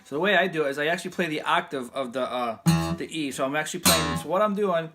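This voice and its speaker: A man with a fairly high voice giving a casual guitar lesson in a loose, rambling way. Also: fast. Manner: loose, rambling, restarts often. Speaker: guitar teacher. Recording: guitar playing loud behind him.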